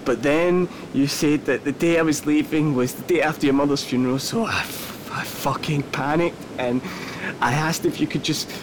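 A man acting in an audio drama as a remorseful, earnest partner, speaking in a halting, confessional way and swearing as he goes. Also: close to an everyday voice. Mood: panicked, apologetic. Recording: loud rushing surf-like rumble noise.

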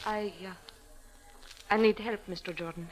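A woman's voice: medium pitch, fairly even intonation, normal speed, lightly acted. A woman playing a proud, anxious cafe dancer with a formal, pleading manner in a 1940s radio drama. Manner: formal, pleading, haughty when crossed. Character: proud, anxious young female cafe dancer seeking help. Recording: noisy old radio recording with clicks.

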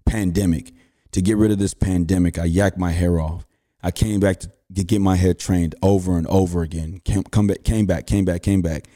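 A man's voice: sits low, fairly even intonation, normal speed, mellow. A man, hosting a podcast and telling a story casually with slang and fillers. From Houston, Texas. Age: 30s. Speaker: podcast host.

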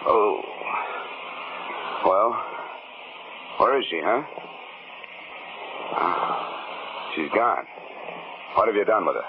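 A man's voice: fairly low pitch, animated, extremely slow, pauses often, exaggerated voice. An American man playing a tough, sardonic, wisecracking insurance investigator in a 1950s radio drama. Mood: groggy, confused. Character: hard-boiled, wisecracking male insurance investigator. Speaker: lead actor and narrator in a radio detective drama. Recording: muffled, noisy 1950s radio recording.

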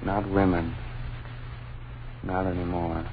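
A shaken, awestruck witness in an old radio horror drama. His low voice moves slowly and haltingly, through a thin, tinny and noisy recording. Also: level tone. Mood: hushed dread. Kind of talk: 1930s radio horror acting.

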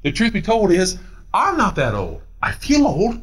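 Pastor, 40s, male: high, swooping delivery, paces the pulpit, argues with imagined doubters. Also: moderate pace, breathy edge. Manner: animated, swooping pitch, paces while preaching; answers his own imagined questions. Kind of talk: sermon.

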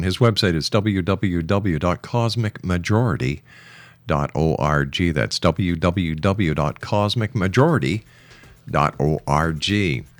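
A man hosting a radio show, announcing in a polished, deliberate broadcast delivery. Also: on the low side. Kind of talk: radio host announcing and ad reads.

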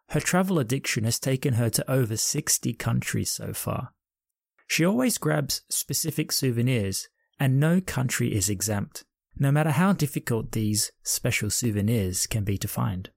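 A man's voice: medium pitch, creaky, darkish tone, husky, no room sound, studio quality. A man hosting a travel podcast, reading an upbeat scripted intro and then chatting with his guest from home during lockdown. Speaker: podcast host.

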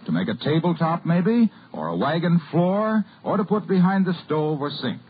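A man's voice: fairly high pitch, sing-song, moderate pace, breathy edge. A man with an American accent announcing and reading a scripted commercial in polished, emphatic 1950s radio salesman style. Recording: tinny, noisy vintage radio broadcast.

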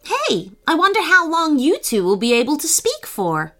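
A woman voicing a sensible, gently scolding female animal character in a children's story. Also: high voice, huge pitch swings, moderate pace, soft background music, pitched above the performer's own voice, full character voice. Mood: friendly.

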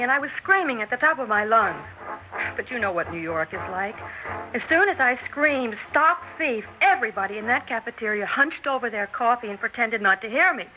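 Actress as a high-voiced, determined would-be star. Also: female voice, noisy background, lightly acted. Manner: dramatic, insistent storytelling. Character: determined, excitable young would-be actress, a woman. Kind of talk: 1960s radio drama acting. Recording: dull old radio broadcast, music behind.

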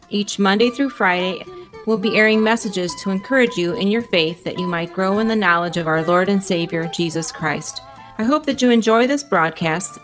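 A woman reads a scripted radio introduction in a measured, welcoming tone, at a middling pitch. Clear music plays underneath her voice throughout, as on a religious radio broadcast. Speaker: radio program announcer.

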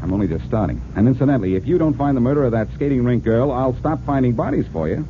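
An actor playing a wisecracking, self-assured amateur detective in a 1940s radio drama, with a wry, teasing manner. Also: male voice, mid-range voice, expressive, fast talker, natural voice. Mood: needling. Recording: muffled, noisy old radio broadcast.

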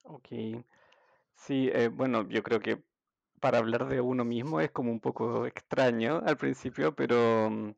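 Man, Chilean accent, podcast guest, very raspy fry, muffled, dry. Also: mid-range voice, a little slow, no echo. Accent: Chilean Spanish. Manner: hesitant, relaxed storytelling with fillers. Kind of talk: casual interview chat. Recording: dull, dry audio.

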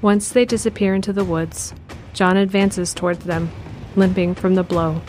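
A woman narrating an audio drama in a measured, descriptive way. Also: on the low side, fairly even intonation, a little mellow, faint music behind. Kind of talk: scripted narration.

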